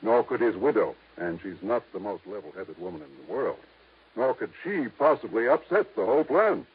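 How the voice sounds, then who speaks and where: An actor playing a measured, discreet lawyer with a formal, deliberate way of talking in a 1950s radio drama. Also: male voice, fairly low pitch, big pitch swings, breathy edge, exaggerated voice. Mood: explaining, measured. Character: measured, discreet small-town lawyer guarding a secret. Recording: tinny, narrow-band vintage radio recording.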